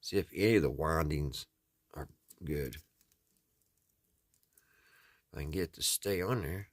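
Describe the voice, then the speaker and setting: A man's voice: extremely low pitch, slow pace, no echo, clean studio sound. An American man talking casually and folksily, thinking aloud as he hosts a hands-on repair vlog. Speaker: host of a radio repair video.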